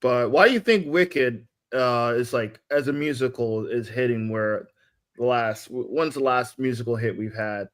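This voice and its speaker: A man moderating a casual podcast chat, asking questions in a hesitant, halting way. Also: mid-range voice.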